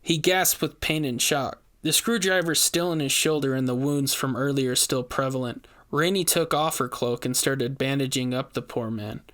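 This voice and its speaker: A man's voice: medium pitch, moderate pace, few pauses, a bit bright. A man giving a scripted first-person narration of a horror story, as its narrator.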